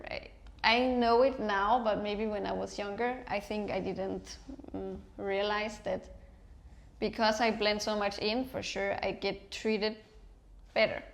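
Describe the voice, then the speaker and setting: Thoughtful woman, mid-pitched voice. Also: creaky. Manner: thoughtful, reflective, pauses to find words. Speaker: podcast guest. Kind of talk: casual conversation.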